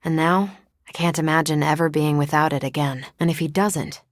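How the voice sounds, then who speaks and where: A woman's voice: low-pitched, normal speed, no echo, clean studio sound, close to an everyday voice. A woman voicing a battle-hardened, self-assured female superhero in a video game, with wry, casual delivery. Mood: earnest. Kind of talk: video game character dialogue.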